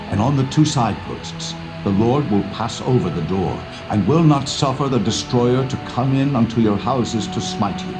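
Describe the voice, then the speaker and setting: A man's voice: lowish voice, natural voice, low-quality recording. An actor playing a solemn male prophet instructing his elders in an earnest, declamatory way, in a dramatised scripture reading. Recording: music behind the voice.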